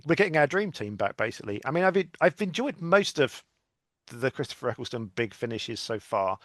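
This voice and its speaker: A man's voice: medium pitch, sing-song, a little fast, dry recording, studio quality. A man talking as a podcast guest in a casual, informal fan chat, chatty and a little self-deprecating. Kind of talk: casual chat about sci-fi audio dramas.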